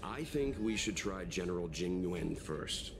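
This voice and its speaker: A man voicing a calm, reserved and considerate traveler in a video game, with a measured, courteous delivery. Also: low-pitched. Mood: measured, reassuring. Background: music.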